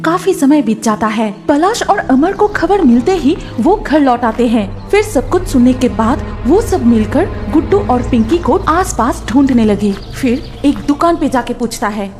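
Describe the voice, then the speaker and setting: A woman's voice: high-pitched, expressive, a little slow, hardly any pauses, a little mellow, faint music behind. A woman narrating a scripted story as the storyteller.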